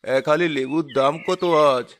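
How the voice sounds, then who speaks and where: An actor voicing a pious elderly man in a cartoon who speaks slowly and reproachfully. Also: mid-range voice, natural voice. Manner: reproachful, slow and measured.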